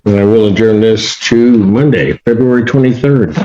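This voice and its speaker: A man's voice: fairly low pitch, normal speed, dark tone. A man presiding as judge in a courtroom hearing, bantering and teasing. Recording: dull courtroom video audio with faint hiss.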